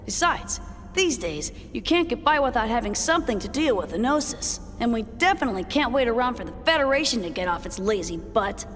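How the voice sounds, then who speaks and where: A woman's voice: fairly high pitch, sing-song, brisk, a bit bright, in a large hall, faint music behind. A woman voicing a blunt, self-assured executive who explains things colloquially in a sci-fi video game. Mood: matter-of-fact.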